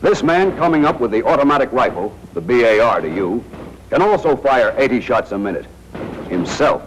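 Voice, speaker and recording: A man's voice: medium pitch, very animated, normal speed. An American man narrating a 1940s military training film in a tough, wry, slangy manner, speaking directly to soldiers. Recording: noisy 1940s film soundtrack.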